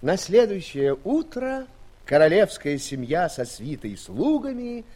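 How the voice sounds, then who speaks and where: A man's voice: high-pitched, sing-song, a little slow, warm tone. A man giving scripted fairy-tale narration for an audio play.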